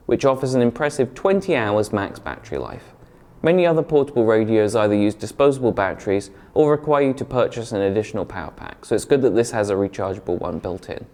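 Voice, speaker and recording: A young British man presents a scripted video review. His mid-pitched voice moves up and down in a lively way, and he explains things clearly, point by point. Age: young adult. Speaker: product reviewer. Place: indoor studio room, seated at a desk.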